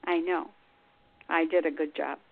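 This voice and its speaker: A woman's voice: low voice, fairly even intonation, unhurried, creaky. Older woman talking over a tinny line. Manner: chatty, flowing, confiding. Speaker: radio show host. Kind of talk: casual interview chat. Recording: dry phone-line sound.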